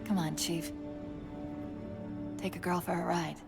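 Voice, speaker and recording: A woman voicing a sharp-witted, loyal female AI companion in a game cutscene, speaking in a precise, wry way. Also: lowish voice, level tone, unhurried, creaky, over music. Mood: teasing. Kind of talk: video game cutscene acting.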